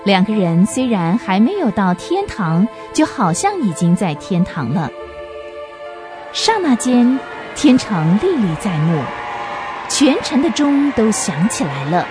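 A woman narrates a scripted radio drama in storytelling style. Her pitch is middling but moves in a very animated way, and faint music plays behind her. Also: measured pace.

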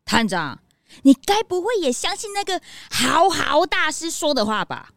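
A woman's voice: high-pitched, big pitch swings, a little slow, gravelly, fairly bright tone, dry recording, clean studio sound, exaggerated voice. A woman voicing an earnest, skeptical young detective's sidekick in a children's audio drama, questioning and protesting with exclamations. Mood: doubtful, protesting.

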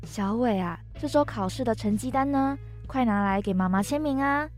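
A woman's voice: a little high, normal speed, a little mellow, soft background music, natural voice. A woman playing a strict, controlling mother who scolds and commands her schoolboy son in an amateur audio drama. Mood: demanding.

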